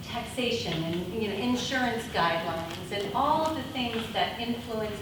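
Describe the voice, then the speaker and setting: A woman presenting at a conference workshop with a loud voice. She takes audience questions and laughs often. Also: on the high side, animated, normal speed. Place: conference room. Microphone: speaking without a mic. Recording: echoey room, far from mic, noisy.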